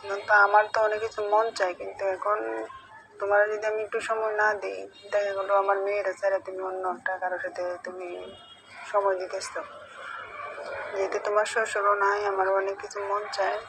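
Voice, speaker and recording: A woman's voice: medium pitch, completely flat delivery, fairly quick, fairly bright tone, soft background music. A middle-aged woman in a casual private phone conversation, hesitant and coaxing, often repeating herself. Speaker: mother-in-law on a phone call. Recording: noisy recorded phone call.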